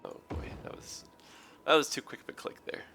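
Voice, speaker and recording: A man with a raspy, hoarse, tired voice, rambling through casual game commentary with many ums and self-corrections. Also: fairly quick, pushed and bright, faint music behind. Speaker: gaming video host. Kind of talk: casual let's play commentary.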